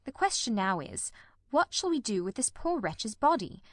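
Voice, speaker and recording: A performer voicing a shrewd, grimly determined male detective in a radio mystery, speaking formally and deliberately. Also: very high voice, sing-song, no echo, studio quality. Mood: analytical. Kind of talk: old-time radio mystery drama.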